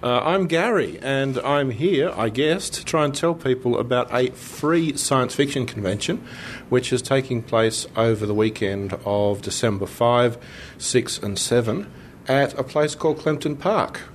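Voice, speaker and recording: A man with a mid-pitched voice talks casually as an interview guest. His delivery is dry and wry, with slight noise and rumble in the background. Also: unhurried. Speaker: interview guest, convention promoter. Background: faint noise, low rumble.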